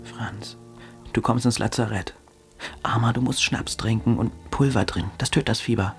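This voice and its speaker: An actor playing a plain, concerned young soldier comrade in a radio drama. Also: male voice, lowish voice, steady tone, normal speed, a bit bright, soft background music, lightly acted.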